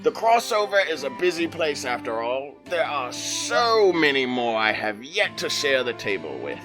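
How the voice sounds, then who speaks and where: A man's voice: medium pitch, sing-song, moderate pace, over music, lightly acted. A man playing a genial, theatrical keeper of tales who courteously addresses his guest in an audio drama. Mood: genial, grandiose. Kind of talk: audio drama host narration.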